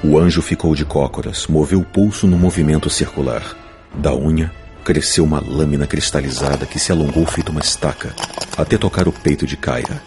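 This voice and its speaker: A man's voice: extremely low pitch, a little fast, a little mellow. A man narrating a fantasy novel in a dramatic, scripted audiobook style. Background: music.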